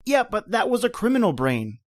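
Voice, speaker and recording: A man's voice: sits high, very animated, a little fast, dry recording. Man playing an eager, obsessive young man, dry sound. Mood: eager, insistent. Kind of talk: old-time radio horror drama acting.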